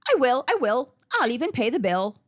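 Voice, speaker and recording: A woman's voice: sits high, sing-song, moderate pace, no echo. A woman voicing a stingy, smug male town mayor who makes grand, showy promises. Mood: pompous. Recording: dull lo-fi recording.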